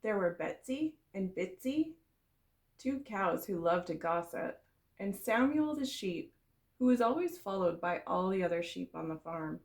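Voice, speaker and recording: Creaky-voiced woman reading a children's story. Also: mid-range voice, measured pace. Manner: expressive, explanatory storytelling for children. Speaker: kindergarten teacher reading a story aloud. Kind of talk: storybook read-aloud for young children.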